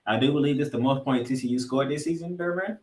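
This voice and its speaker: A man hosting a sports podcast, giving excitable football commentary in an announcer-like style with drawn-out, emphatic phrases. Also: mid-range voice. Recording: echoey room, music underneath.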